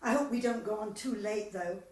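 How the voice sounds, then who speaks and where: A woman's voice: medium pitch, a little fast, a little mellow, close to an everyday voice. A woman playing a dithery, chatty older English widow in an amateur radio comedy, rambling and apologetic. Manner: rambling, hesitant, wistful. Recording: echoey, distant mic, faint noise.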